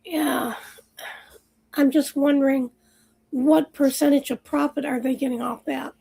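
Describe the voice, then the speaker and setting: A woman hosting a livestream, chatting casually and rambling with viewers while her voice is going hoarse. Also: high voice, steady tone, unhurried, mellow, poor audio. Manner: chatty, rambling, lots of fillers. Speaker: livestream host. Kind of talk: casual chat with live chat viewers.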